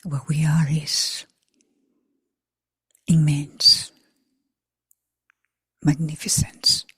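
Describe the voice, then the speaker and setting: A woman guides a group meditation in a low, very husky and breathy voice that hovers near a whisper. She moves slowly, leaving long silences between short phrases. The sound is close and dry. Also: level tone, fairly bright tone, no echo. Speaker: meditation teacher.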